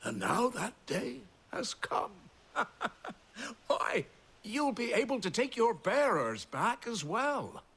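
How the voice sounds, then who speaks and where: A husky, hugely animated village mayor. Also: male voice, very high-pitched, heavily acted. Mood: awed, delighted. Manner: animated, unhurried, swooping. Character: effusive, deferential older village mayor, delighted. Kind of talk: video game cutscene acting.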